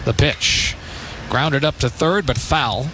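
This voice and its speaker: A man doing live radio baseball play-by-play, unhurried between pitches and lifting his delivery when there is action. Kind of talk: live radio sports commentary. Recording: clearly noisy outdoor broadcast ambience.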